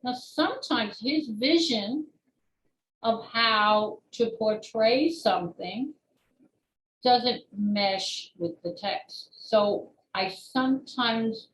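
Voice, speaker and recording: Slow-paced woman in an echoey room. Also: fairly high pitch. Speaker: children's book author, interview guest. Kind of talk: explanatory interview talk. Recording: roomy echo, faint background noise.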